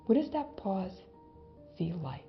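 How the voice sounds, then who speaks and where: A woman leading a guided visualization in a gentle, hypnotic way, with long pauses and soft phrase endings that trail off toward a whisper. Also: medium pitch, very animated, dull audio. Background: background music.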